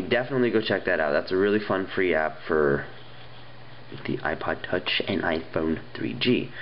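A man's voice: deep voice, highs cut off, slight background noise. A young man with a stuffy nose chatting casually and rambling as he reviews phone games at a table, with plenty of ums and restarts. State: stuffy nose, getting sick. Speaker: app reviewer. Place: indoors at a wooden table.

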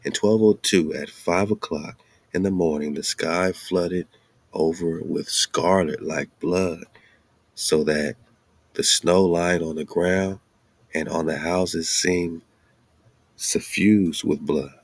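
A man's voice: sits low, fairly even intonation, slow pace, husky, no echo. An American man hosting, reading a text aloud and breaking into colloquial, off-the-cuff commentary with emphatic asides. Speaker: host.

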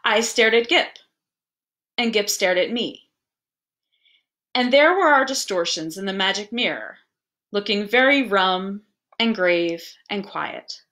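A woman reading a story aloud as narrator, with an expressive, deliberate storytelling delivery. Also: mid-range voice. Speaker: library staff member reading aloud.

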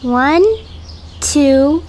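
A woman's voice: high voice, extremely slow, a little mellow, slight background noise. A woman voicing a bold, mischievous young outsider lion cub, childlike, cheeky and casual, in a comic dub. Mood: playful. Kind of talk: comic dub character acting.

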